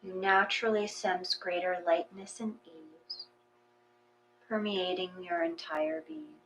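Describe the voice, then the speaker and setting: A woman reading a guided meditation in a gentle, unhurried, soothing way, with pauses between phrases. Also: medium pitch, gravelly, a little hiss or hum, low-quality recording.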